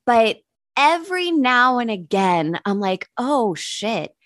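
Lively American woman, candid podcast host. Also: fairly high pitch, slow pace, no room sound, clean studio sound. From Pittsburgh, United States. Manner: candid, casually profane; laughs readily. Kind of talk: casual comedic chat.

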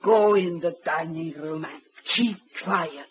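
A woman's voice: fairly low pitch, takes it slowly. An actress playing a guarded, brusque landlady who is afraid of being overheard, in 1940s radio acting. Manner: guarded and brusque, urgent undertone. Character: fearful, secretive older landlady. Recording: dull old radio recording with faint hiss.

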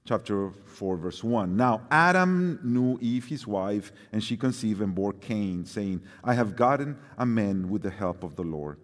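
A man with a Mexican accent, serving as guest preacher, reading scripture aloud in a lively voice and then speaking to the congregation with some hesitant fillers. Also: lowish voice, unhurried, hardly any pauses. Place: large church sanctuary. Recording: long hall reverb.

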